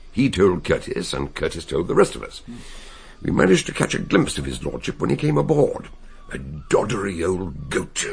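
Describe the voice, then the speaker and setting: Gruff old detective, deep husky rasp, swooping, chuckly radio-play sleuth. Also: male voice, heavily acted. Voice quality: gravelly, breathy voice. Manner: swooping, expressive delivery with chuckles. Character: eccentric elderly English detective, genial, learned. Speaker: amateur detective. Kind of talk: 1960s radio drama acting.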